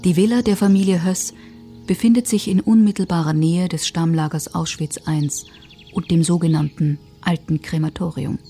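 Mellow, lowish-voiced female documentary narrator. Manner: factual, sober. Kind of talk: scripted narration.

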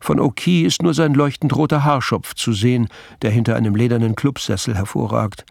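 A man narrating a mystery radio play in a measured, composed, literary storytelling manner. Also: medium pitch, gravelly, dry recording, clean studio sound, close to an everyday voice.

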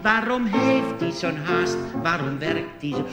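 Man singing as a high-pitched, naive, curious child. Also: expressive, unhurried, reverberant, big-room sound, loud music behind the voice. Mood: curious, puzzled. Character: naive, curious child questioning mother about papa. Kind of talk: theatrical cabaret singing.

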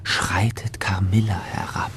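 A man narrating a radio drama from a script in a hushed voice. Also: sits low, little pitch movement, unhurried, whispered.

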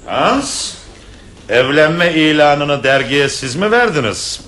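A man's voice: on the high side, very animated, slow pace, pushed and bright, full character voice. An actor playing a shy, formal widowed professor and father in a vintage radio play, speaking in courtly, old-fashioned phrases. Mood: scolding.

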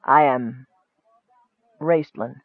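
A man voicing a frail, sickly, bitter dark wizard in a fantasy audiobook, softly rasping and mocking, on a muffled recording. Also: medium pitch, dry recording, pitched below the performer's own voice. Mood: grim. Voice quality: soft, rasping, breathy. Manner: mocking, cryptic, measured.

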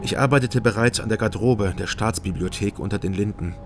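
A laconic male private detective, played by an actor, narrates a radio crime drama in a low voice. His sentences are terse and observant, with music clearly behind him. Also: a little fast, lightly acted. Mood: reflective. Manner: terse, matter-of-fact. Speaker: first-person narrator of a crime radio play.

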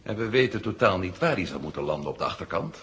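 An actor playing a guarded, terse science-fiction hero in a vintage Dutch radio play. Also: male voice, sits low, sing-song, fast, a bit bright. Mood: subdued, compliant. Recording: noisy old radio recording.

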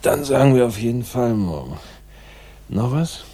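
An actor playing a laconic, wry private investigator, speaking deadpan in a crime radio drama. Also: male voice, medium pitch, moderate pace, slightly rough.

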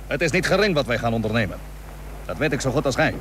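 An actor playing a resolute seventeenth-century Dutch naval commander in a radio play, earnest and commanding, with solemn, old-fashioned formal wording. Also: male voice, medium pitch, big pitch swings, fast, a bit bright. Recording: noisy old radio drama recording.

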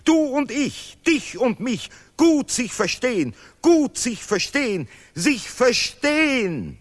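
A man playing a pompous patriotic artist in a radio play, declaiming in repetitive, deliberately broken German. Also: extremely high pitch, big pitch swings, full character voice. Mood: solemn, exalted. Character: pompous Nobel laureate artist, zealous patriot of language and fatherland.

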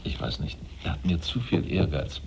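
An actor playing a vain, imperious French revolutionary leader in a German radio drama, with a pompous, theatrical delivery. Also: male voice, a bass voice, normal speed, creaky, a little hiss or hum. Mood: wary, doubtful.

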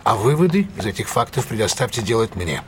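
A shrewd male detective with a low voice. He is commanding and precise, setting out each point in measured audio drama style, over clear background noise. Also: very animated. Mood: instructive, measured.

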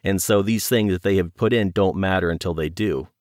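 Low guy being interviewed, thinks out loud, keeps rewording stuff, really dry close sound. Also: no echo. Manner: thoughtful, halting, circling back to rephrase. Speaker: guest, audio drama producer. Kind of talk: conversational interview commentary.

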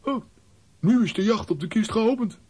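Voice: medium pitch, lively intonation, normal speed, a little mellow, slightly rough. An actor playing a bossy, blustering comic adventurer in a children's audio drama, commanding and exclamatory. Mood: eager, commanding.

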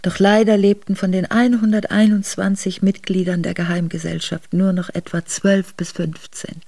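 A creaky-voiced exiled princess, reminiscing. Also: female voice, medium pitch, level tone, moderate pace, dark tone, no echo. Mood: sober, reflective. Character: exiled Russian princess, aged mother recalling hard years tenderly. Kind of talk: German radio drama monologue, memoir narration.

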